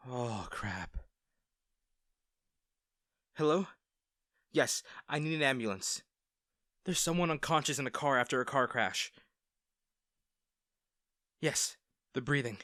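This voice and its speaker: Slow-paced, caring young rescuer played by a man. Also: mid-range voice, lively intonation, dry recording, clean studio sound. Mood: alarmed, urgent. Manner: gentle, reassuring, hesitant. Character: Matthew, kind, worried young male rescuer at crash. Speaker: voice actor in roleplay audio. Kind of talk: acted first-person roleplay audio drama.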